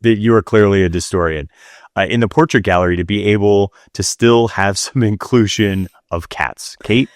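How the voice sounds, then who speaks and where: A man's voice: on the low side, moderate pace, no echo, studio quality. A man co-hosting a casual talk show, chatting through listener questions in conversation. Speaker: podcast co-host.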